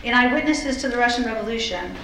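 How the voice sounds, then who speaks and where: A woman giving a scripted history lecture in a measured, articulate way, with the occasional informal aside. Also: a little high, fairly bright tone. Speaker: lecturer presenting a historical talk. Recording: roomy echo with faint background noise.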